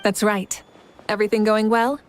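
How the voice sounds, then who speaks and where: Woman as a composed, assured leader, mid-pitched. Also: level tone, normal speed, a little hiss or hum, lightly acted. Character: composed, caring female leader of a nation. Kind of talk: video game voice acting.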